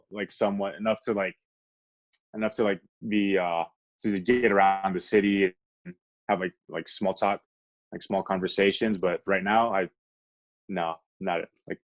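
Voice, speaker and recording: A low, deep-voiced man with an American accent talks in a relaxed, conversational way as an interview guest. He tells his stories haltingly, padding them with "like" as he searches for the next detail. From United States. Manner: halting, peppered with "like". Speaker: podcast guest. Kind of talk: casual conversational storytelling.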